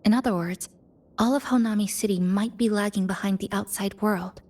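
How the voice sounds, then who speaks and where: Actress as young female game guide, composed, reflective, measured delivery, cutscene dialogue. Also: mid-range voice, darkish tone, natural voice. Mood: calm, explaining. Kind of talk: video game cutscene voice acting.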